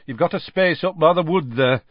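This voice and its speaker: A man voicing a blunt, suspicious rural campsite owner who speaks loudly and gruffly. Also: fairly high pitch, moderate pace, no room sound, pitched above the performer's own voice, close to an everyday voice. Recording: slightly dull lo-fi audio.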